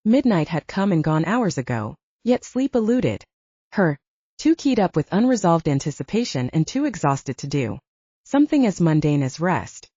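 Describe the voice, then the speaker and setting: A woman reading a novel aloud as an expressive, scripted audiobook narration. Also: lowish voice. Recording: dull lo-fi, roomy sound.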